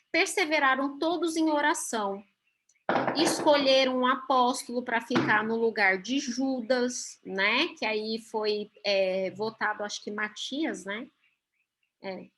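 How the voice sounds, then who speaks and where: Brazilian lady teaching bible class on a video call, high voice, takes it slow, keeps asking "ok?". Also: fairly bright tone. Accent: Brazilian Portuguese. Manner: explanatory, checks in with "ok?" and "gente".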